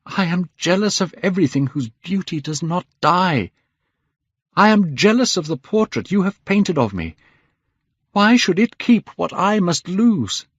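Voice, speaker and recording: A man's voice: a little high, lively intonation, a little slow, no room sound, muffled recording, pitched above the performer's own voice. A man voicing a beautiful, petulant young man in a fervent, dramatic, aggrieved storybook manner. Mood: anguished, fervent.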